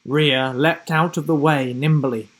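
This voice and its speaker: A man's voice: a little high, fairly even intonation, unhurried. A man reading a children's adventure story aloud with dramatic, theatrical delivery. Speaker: story reader. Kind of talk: dramatic scripted storybook narration.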